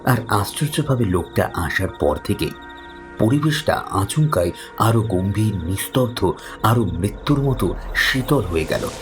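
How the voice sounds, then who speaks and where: A man's voice: on the low side, animated, a little slow. A man narrating a scripted horror story in a grave, suspenseful manner. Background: background music.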